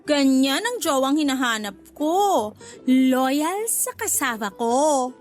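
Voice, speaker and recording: A woman's voice: sits high, big pitch swings, takes it slowly, faint music behind, full character voice. A woman acting a flirty, easily jealous food-stall vendor in a Tagalog radio drama, with playful, huffy delivery. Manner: playful banter turning to indignant huffs. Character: flirty, easily jealous female cassava cake vendor, teasing and touchy.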